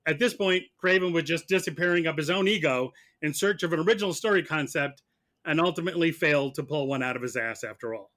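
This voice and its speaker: A man playing prosecutor in a mock trial, arguing in a sarcastic, halting, conversational way. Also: fairly high pitch, a bit bright. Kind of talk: mock courtroom argument, conversational.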